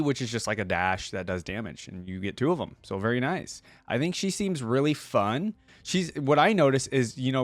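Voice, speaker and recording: A man's voice: mid-range voice, dry recording. A man hosting a reaction video with casual, rambling, conversational commentary.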